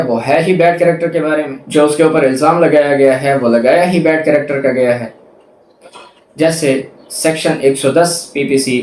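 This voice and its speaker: Man, Pakistani accent, medium pitch, didactic law lecturer, repetitive emphasis, echoey room. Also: darkish tone. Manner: didactic, repeats points for emphasis. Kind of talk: explanatory lecture, reading statutes and paraphrasing them. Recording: echoey room with faint background noise.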